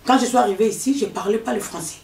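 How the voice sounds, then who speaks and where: A woman gives her own account and drives each point home by repeating it. She sits back from the microphone, and the room adds a clear echo. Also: fairly high pitch, very fast, darkish tone, recorded from a distance. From DR Congo, raised in Angola. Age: 40s. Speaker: guest. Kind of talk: personal testimony in a discussion. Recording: echoey room, speaker off mic.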